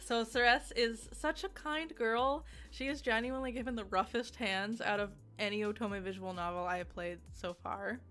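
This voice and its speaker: A woman's voice: a little high, normal speed, hardly any pauses, a bit bright, faint music behind. A woman giving a casual, conversational video game review in an emphatic, informal way, often giggly as she talks.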